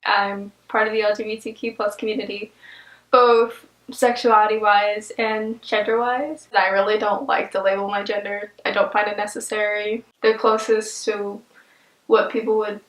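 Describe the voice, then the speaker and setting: A teenage student, a high school junior with a female-sounding voice, speaking earnestly in a documentary interview. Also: flat delivery, moderate pace, a bit bright, echoey room, poor audio. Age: teens.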